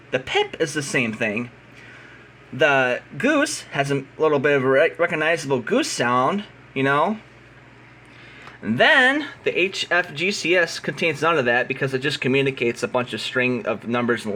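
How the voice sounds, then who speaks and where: A man's voice: on the high side, fairly bright tone. An American man giving an unscripted, opinionated explainer in a blunt, combative, emphatic manner. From United States. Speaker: radio signal hobbyist.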